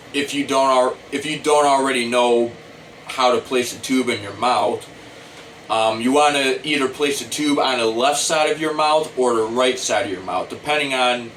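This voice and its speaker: A middle-aged American man gives an informal how-to talk at a slow, deliberate pace. He explains step by step and circles back over his points. The mic sits some distance away, with a faint hum of room noise behind him. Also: medium pitch, fairly bright tone. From United States. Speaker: talk box player giving tutorial. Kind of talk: informal instructional talk to camera.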